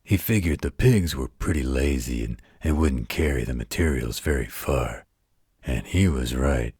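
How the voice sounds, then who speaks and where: Gruff male werewolf guardian acted by a man, extremely low and raspy, tender folksy bedtime storyteller for an intimate audio roleplay. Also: expressive, dry recording, clean studio sound, heavily acted. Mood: folksy storytelling. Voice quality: very deep, gravelly, husky. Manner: folksy, unhurried, gently wry.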